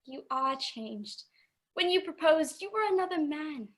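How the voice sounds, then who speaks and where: A woman's voice: high-pitched, animated, a bit bright. A woman in a radio play playing a poor young fiancée who speaks with sorrowful, earnest resolve. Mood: sorrowful, resolute. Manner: earnest, measured, plaintive.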